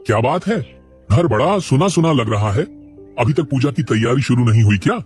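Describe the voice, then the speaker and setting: A proud village priest in a cartoon story, voiced by a man. His mid-pitched voice rises and falls a lot, and his speech is formal and expressive. Faint music plays behind. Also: moderate pace, natural voice. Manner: expressive, proper. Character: proud middle-aged male village priest.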